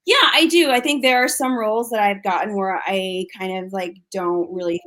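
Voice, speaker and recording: An American actress talking casually as an interview guest, chatty and full of restarts and fillers. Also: female voice, medium pitch, normal speed, fairly bright tone. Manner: chatty, frequent fillers and restarts, laughs often.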